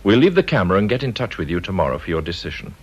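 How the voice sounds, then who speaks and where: A man's voice: sits low, very animated, racing, breathy edge, highs cut off, full character voice. An actor playing a male blackmailer in a radio drama, sardonic and politely threatening. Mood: cool menace. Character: smooth, menacing male blackmailer, calmly mocking.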